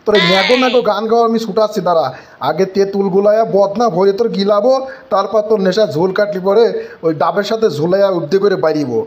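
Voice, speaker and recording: A man's voice: high-pitched, fast, lightly acted. A man voicing an angry, threatening middle-aged village father in a comic cartoon, ranting indignantly. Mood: furious. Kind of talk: Bengali comic cartoon voice acting.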